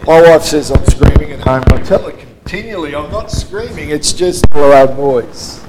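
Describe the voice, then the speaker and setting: A man preaching a sermon in a church with a loud voice, in a casual, joking manner with frequent restarts. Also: a little high, expressive, normal speed, background noise. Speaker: pastor. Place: church hall.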